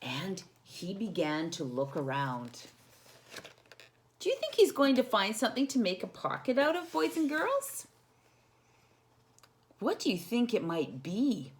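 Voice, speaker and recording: Woman, children's storytime reader, slow pace, very varied pitch, expressive, engaging young listeners directly. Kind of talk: expressive picture-book reading with questions to children.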